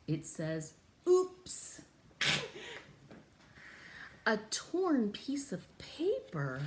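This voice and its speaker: A woman teaching and reading a picture book aloud to young children, interactively, in an exaggerated, expressive way with drawn-out words. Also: a little high. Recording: echoey room with faint background noise.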